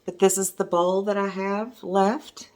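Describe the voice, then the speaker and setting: A middle-aged woman with a hoarse voice, chatting casually as a resin art teacher while showing her work at home. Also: mid-range voice, flat delivery, unhurried, a little mellow. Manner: chatty, enthusiastic, meandering. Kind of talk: casual video talk showing artwork.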